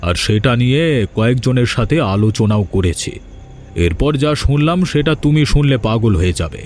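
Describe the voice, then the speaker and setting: A man's voice: medium pitch, animated, normal speed, a little mellow, a little hiss or hum, natural voice. A man voicing a scheming older father-in-law, insistent and protective of his daughter, in a fairy-tale cartoon. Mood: conspiratorial, insistent.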